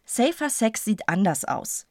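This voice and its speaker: An actress playing a sardonic, blunt police commissioner at home in a radio crime drama. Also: female voice, mid-range voice, sing-song, measured pace, a little mellow, no room sound, clean studio sound. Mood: sardonic, annoyed. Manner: wry, blunt, colloquial.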